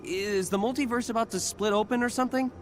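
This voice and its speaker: A woman playing an inquisitive, excitable young superhero in a video game, with an incredulous, questioning manner. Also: fairly high pitch, fast talker, slight background noise, natural voice. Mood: baffled, curious. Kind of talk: animated video game dialogue.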